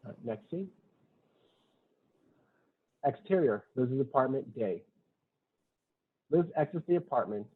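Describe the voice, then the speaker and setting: A man reading screenplay stage directions aloud at a table read, matter-of-fact, in short clipped sentences. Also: mid-range voice. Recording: thin, phone-like online call audio.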